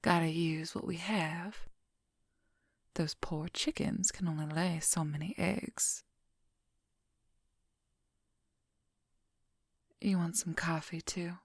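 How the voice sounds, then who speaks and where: An affectionate female werewolf, acted out by a woman in an ASMR roleplay, whispering intimately and very close. The voice is slow and breathy, with gentle, playful teasing. The sound is dry and near. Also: fairly low pitch, steady tone, dry recording. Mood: relaxed, caring. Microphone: close microphone, dry.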